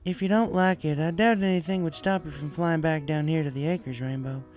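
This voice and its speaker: A man voicing a caring, steady young female farm pony, folksy, reassuring and firm, in a story reading. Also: a little high, a little fast, faint music behind, pitched above the performer's own voice, lightly acted.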